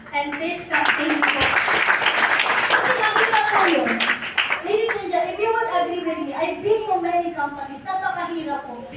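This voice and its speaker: A Filipino woman in her forties with a high voice delivers a personal testimonial to a crowd, moving between English and Tagalog. She keeps calling out to the ladies and gentlemen and asking rhetorical questions. She sounds far from the mic in a very echoey hall with noise behind her. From Philippines. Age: 40s. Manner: addresses the audience directly again and again. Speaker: motivational speaker at a company event. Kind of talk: testimonial speech to an audience. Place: large echoey event hall.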